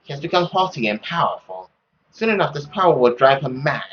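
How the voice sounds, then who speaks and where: A man voicing a stern, possessive middle-aged mother in a dramatic, deliberate, scolding manner. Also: a little high, expressive, a bit bright, lo-fi sound, slight background noise. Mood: grim.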